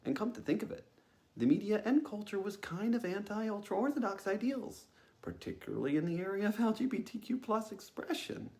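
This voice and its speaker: Young man, creaky, halting storyteller. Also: high-pitched, very animated, darkish tone. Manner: hesitant, halting delivery with frequent uh and um. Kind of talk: informal first-person storytelling talk.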